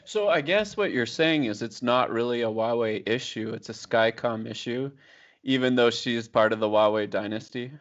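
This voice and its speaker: A man's voice: medium pitch, moderate pace. A man hosting a podcast, asking questions and reacting in a casual chat. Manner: asks questions and reacts briefly. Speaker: podcast host. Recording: dull lo-fi podcast audio.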